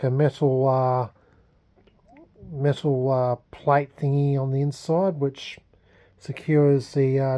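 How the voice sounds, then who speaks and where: An Australian man giving a casual, unscripted hobby vlog update, speaking haltingly with frequent pauses. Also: mid-range voice, dark tone. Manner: halting, hesitant, unscripted delivery with many pauses. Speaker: hobbyist vlogger. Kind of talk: casual restoration progress vlog.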